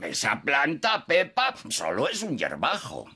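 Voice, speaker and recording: A grumbling old grandpa pig. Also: female voice, deep voice, wildly swooping pitch, unhurried, sharp tone, breathy edge, heavily acted. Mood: proud. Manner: grumbling, stubborn, indignant. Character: fussy proud old grandpa pig, gardener. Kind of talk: cartoon dubbing.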